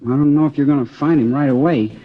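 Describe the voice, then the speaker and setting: An actor playing a seventeen-year-old boy, talking in a casual, slangy, halting way in an old radio crime drama. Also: fairly high pitch, close to an everyday voice. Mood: hesitant, matter-of-fact. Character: seventeen-year-old boy informant, hesitant but cooperative. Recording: dull old radio recording.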